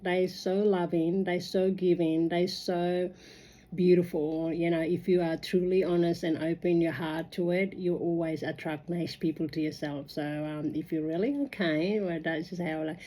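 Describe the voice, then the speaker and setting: A woman who speaks English as a second language, reflecting casually on her own experience as a migrant in a halting, rambling, earnest way. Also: fairly low pitch, dark tone. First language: non-native English speaker. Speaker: migrant sharing personal experience.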